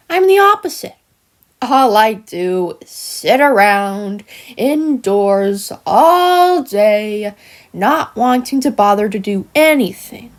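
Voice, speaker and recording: A woman's voice: on the high side, heavily acted. A woman voicing a jealous, smugly sinister female nightmare critter in a theatrical, taunting, mock-sweet cartoon monologue. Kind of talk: scripted fan-made cartoon character monologue.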